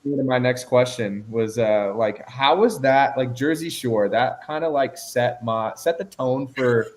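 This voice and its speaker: A young man chatting casually on a speed date, relaxed and agreeable, often repeating himself. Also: medium pitch, normal speed. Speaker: dating show lead.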